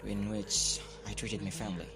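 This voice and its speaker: A man's voice: lowish voice, flat delivery, moderate pace, lightly acted. A man playing an earnest, uneasy student giving his account in an amateur radio drama. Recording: music behind the voice.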